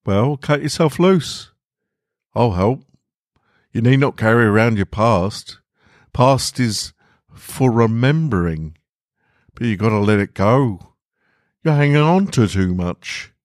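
A man plays a plain-spoken working man from a storybook. He is insistent and blunt, urging and exhorting with emphasis. The voice sits raised in pitch, in a dry, close recording. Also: animated, slow pace, long pauses, dry recording.

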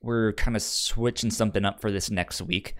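A man's voice: fairly low pitch, steady tone, normal speed, fairly bright tone. A man co-hosting a podcast in casual film discussion, stammering and repeating syllables and words as he talks. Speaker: podcast co-host.

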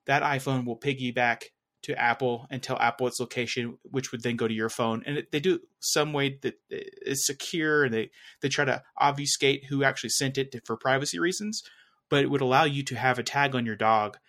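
A man's voice: mid-range voice, a little fast, fairly bright tone. Rambling man in casual chat. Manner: rambling, hesitant, self-correcting. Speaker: podcast co-host talking tech.

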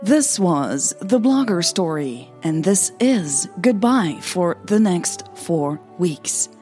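A woman's voice: lowish voice, sing-song, slow pace, dark tone, faint music behind. A woman reading scripted romantic fiction and podcast announcements as narrator and host. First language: Dutch.

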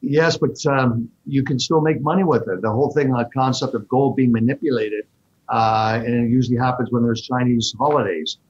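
A man being interviewed, explaining investments in quick, animated bursts with frequent fillers. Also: medium pitch, husky, dull audio. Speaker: fund manager guest. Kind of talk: interview answers.

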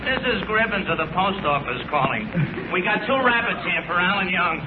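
High-pitched, blunt postal clerk. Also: male voice, animated, brisk. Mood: harried complaint. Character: blunt, put-upon male post office clerk. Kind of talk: 1940s radio comedy acting. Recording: very noisy, dull, echoing old radio.

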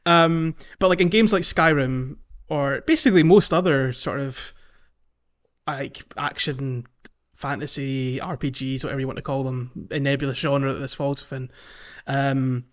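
A man's voice: mid-range voice, fairly even intonation, normal speed. A man co-hosting a podcast, chatting casually about video games in a meandering, hesitant way. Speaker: podcast co-host. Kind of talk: casual video game discussion. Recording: slightly dull, lo-fi, music behind. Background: background music.

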